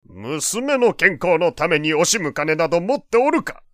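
A man's voice: on the high side, sing-song, a little slow, dry recording, studio quality. An actor playing a proud, doting, wealthy old father who talks in pompous old-fashioned speech in an audio drama. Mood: boastful, pleased. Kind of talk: Japanese audio drama acting.

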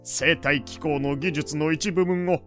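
An actor playing an opinionated, kindly old gentleman in an audio drama, lecturing in old-fashioned elderly phrasing. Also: a little high, fairly even intonation, unhurried, over music, natural voice. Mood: pleased, explaining. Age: older. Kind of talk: Japanese audio drama acting.

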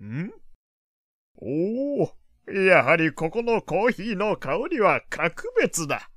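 Lively preachy old gentleman. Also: high-pitched, takes it slowly, dry recording, studio quality, full character voice. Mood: pleased, explaining. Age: older. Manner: archaic elderly phrasing, lecturing. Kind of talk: Japanese audio drama acting.

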